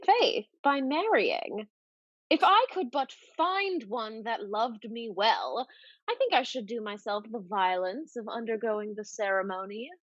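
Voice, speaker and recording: Actress as scheming Restoration noblewoman: high, slow, very expressive, arch and proud, slightly dull close recording. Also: dry recording. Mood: arch, teasing. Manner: theatrical, deliberate, arch delivery. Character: scheming society lady, arch, proud, secretly in love. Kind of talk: Restoration comedy stage acting.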